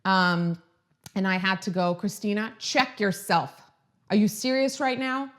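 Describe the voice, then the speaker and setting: A chatty woman in her thirties from Croatia hosts a casual live stream. She sounds upbeat and talks in a rambling, friendly way, with restarts and side remarks. Her voice sits at an ordinary middle pitch. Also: steady tone, a little slow. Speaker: lifestyle video host.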